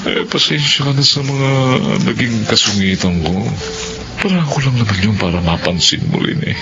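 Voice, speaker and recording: An actor playing a middle-aged suitor in a radio drama, teasing and tender in manner. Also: male voice, on the low side, animated, moderate pace, natural voice. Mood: apologetic, tender. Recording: muffled radio broadcast under loud music.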